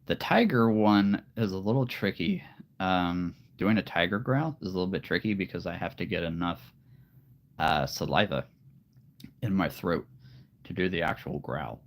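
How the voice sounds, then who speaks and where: A man with a very deep voice chatting casually on a live stream, relaxed and rambling. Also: normal speed. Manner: relaxed, rambling, laughs often. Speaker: live streamer and hobby voice actor.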